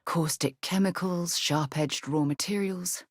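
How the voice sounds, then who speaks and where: An actress voicing a composed, dry-witted woman explorer and leader in a video game, speaking in a measured, articulate way. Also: on the low side, dry recording. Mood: calm, wry. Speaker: companion character. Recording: dry studio recording.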